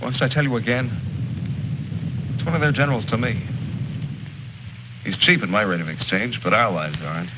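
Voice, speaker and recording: An actor playing a pragmatic, impatient military leader in an old film drama, curt and exasperated. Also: male voice, lowish voice, moderate pace, long pauses, close to an everyday voice. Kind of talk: mid-century American film drama acting. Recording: muffled, noisy old film soundtrack.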